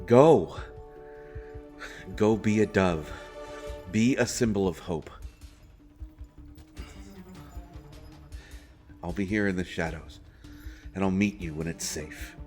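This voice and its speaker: A man playing an earnest, protective royal interrogator secretly helping a prisoner in a fantasy audio roleplay, speaking haltingly and with urgency. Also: deep voice, darkish tone, faint music behind. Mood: urgent, protective. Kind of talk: dramatic fantasy audio roleplay addressed to the listener.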